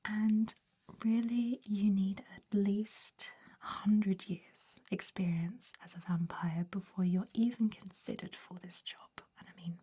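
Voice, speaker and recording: A woman's voice: mid-range voice, fairly even intonation, unhurried, mellow, slightly rough. A woman playing a centuries-old, fussy vampire recruiter in an ASMR roleplay, whispering with a hesitant, politely dismissive manner. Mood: discouraging, matter-of-fact.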